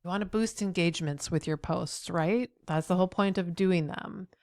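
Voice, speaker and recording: Low-voiced middle-aged woman with a cold. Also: normal speed, a little mellow, no room sound, studio quality. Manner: encouraging, speaks straight to the viewer with frequent "right?". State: head cold, a bit nasal. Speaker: accessibility trainer. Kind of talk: tutorial video.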